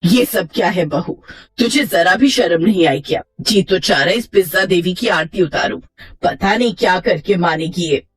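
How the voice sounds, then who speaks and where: A woman's voice: fairly low pitch, normal speed, slightly rough, voice pushed down. A woman voicing a stern, sarcastic middle-aged mother-in-law who scolds in exasperation in a moral story. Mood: furious. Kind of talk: acted moral story for children.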